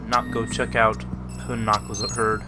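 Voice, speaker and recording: A man's voice: fairly low pitch, little pitch movement, measured pace. A man giving casual gameplay commentary as he plays. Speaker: gamer. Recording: voice-over under loud game audio. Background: loud game music.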